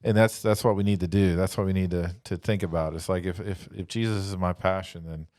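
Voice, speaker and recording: A man with a deep voice, recorded dry and close, reflects on faith as a podcast panelist. He is conversational and encouraging, repeating phrases to drive a thought home. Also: steady tone, a little fast, a little mellow, dry recording. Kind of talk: casual religious discussion.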